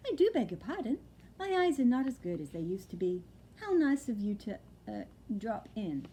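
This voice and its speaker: A woman's voice: fairly high pitch, big pitch swings, moderate pace, dark tone, slight background noise. A woman reading aloud and voicing a prim, fussy old lady, genteel and given to exclaiming. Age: older. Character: old lady in a deck chair, fluttery and genteel.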